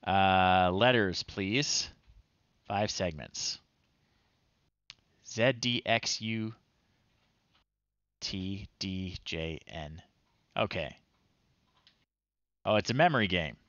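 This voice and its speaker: A man's voice: sits low, drawn-out words, long pauses, a bit bright, dry recording, highs cut off. A middle-aged man casually commentating a live game stream, reading screen text aloud in a wry, off-the-cuff way, close on the mic. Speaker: retro game streamer, host. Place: small indoor room, livestream setup.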